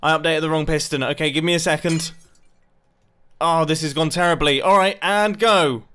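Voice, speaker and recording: Excitable guy narrating gameplay. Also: fairly high pitch, normal speed. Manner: excitable, self-deprecating, laughs often. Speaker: gaming YouTuber. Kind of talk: casual gameplay commentary.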